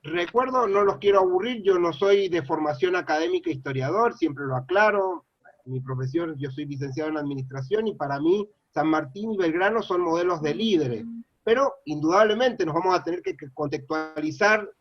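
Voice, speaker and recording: A man's voice: a little high, moderate pace, no echo. Earnest Argentine man lecturing, dull dry sound. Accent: Argentine Spanish. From Mendoza, Argentina. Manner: earnest, discursive, frequent "eh" and "este" fillers. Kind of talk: public lecture.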